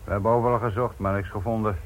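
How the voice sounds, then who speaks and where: Man acting a gruff, weary police inspector, low-pitched. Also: steady tone, very fast, close to an everyday voice. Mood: probing. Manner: gruff, curt, mutters curses. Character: weary, frustrated male police inspector on an old case. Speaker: actor in a radio drama. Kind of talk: Dutch radio play acting. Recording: noisy old radio drama recording.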